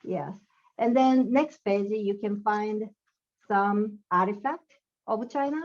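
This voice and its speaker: A young woman from South Korea with a Korean accent, speaking English haltingly as a guest author in a casual interview. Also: mid-range voice, darkish tone. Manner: halting, searching for words, laughs often. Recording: dull lo-fi sound.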